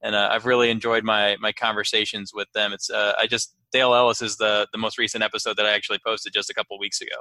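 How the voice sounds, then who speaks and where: A man with a bright, forward voice hosts a casual sports interview. His pitch stays fairly even and on the low side, and he phrases things hesitantly in a close, dry recording. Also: fast, few pauses, no echo. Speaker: podcast host, interviewer.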